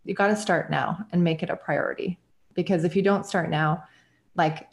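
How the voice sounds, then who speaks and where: A woman's voice: on the low side, moderate pace, creaky. Chatty woman in her forties. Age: 40s. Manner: animated, rambling with many fillers and laughs. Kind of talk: casual conversation.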